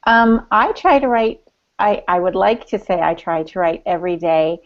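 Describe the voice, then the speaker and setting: A woman's voice: on the low side, moderate pace, dull audio. A woman co-hosting a podcast, talking casually and candidly, often qualifying what she says. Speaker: podcast co-host and writer.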